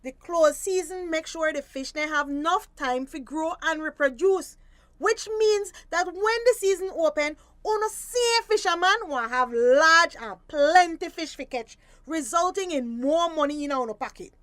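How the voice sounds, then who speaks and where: Firm woman fisheries officer, Belizean Creole, high voice, dry close sound, admonishing but fair. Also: expressive, unhurried, a bit bright, no echo, heavily acted. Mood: stern lecturing. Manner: stern, admonishing, lecturing. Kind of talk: radio drama acting.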